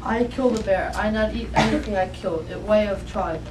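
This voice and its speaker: A woman's voice: mid-range voice, fairly quick, natural voice. A woman voicing a serious young Native boy who speaks clipped broken English. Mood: solemn. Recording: distant echoey room with noise.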